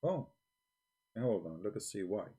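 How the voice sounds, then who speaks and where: Man, low dark voice, blunt and argumentative, broken English, live-stream host on a desk mic. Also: very animated, a little slow, breathy edge. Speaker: livestream host. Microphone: desk microphone.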